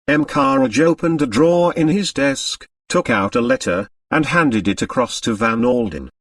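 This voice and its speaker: A man narrating a mystery novel as an audiobook, in an expressive, dramatic storytelling manner. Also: mid-range voice, normal speed, dry recording, distant mic.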